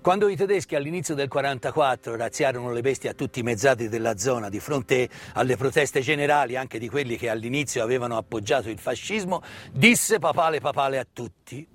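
A man delivering scripted theatrical narration of history as a stage storyteller, in a measured way with deliberate pauses. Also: medium pitch, lively intonation, fairly bright tone. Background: live instrumental music.

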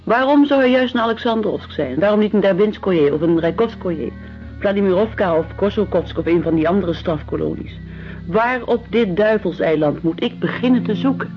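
Radio play role: troubled young woman played by an actress, earnest and reserved, medium pitch, muffled sound. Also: lively intonation, normal speed, natural voice. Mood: anxious, despairing. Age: young adult. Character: anxious young woman searching for her exiled brother. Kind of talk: radio drama acting. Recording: dull radio recording with music.

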